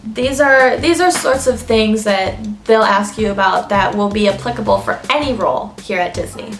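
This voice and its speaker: A woman's voice: medium pitch, moderate pace. A young woman chatting informally through advice from her notes, explaining and listing points as a vlogger. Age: young adult. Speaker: vlogger giving interview tips. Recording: echoey room with background music.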